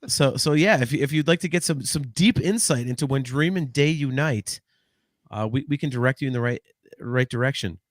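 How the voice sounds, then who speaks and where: A man's voice: mid-range voice, brisk, no room sound, studio quality. Rambling, cursing man in his forties. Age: 40s. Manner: rambling, swearing, frequent restarts. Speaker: podcast co-host. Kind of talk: casual podcast chat.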